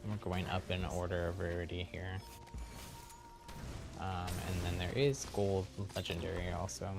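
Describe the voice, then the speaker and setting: A man's voice: deep voice, steady tone, normal speed, creaky. A man streaming a game, giving casual, easygoing explanatory commentary to viewers. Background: game music.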